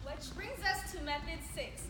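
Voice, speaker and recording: A woman's voice: very high voice, lively intonation, moderate pace. A woman acting in a comic stage play as a skeptical, curt co-presenter of survival tips. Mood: insistent. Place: live stage in a hall. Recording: echoey, very noisy, distant stage recording.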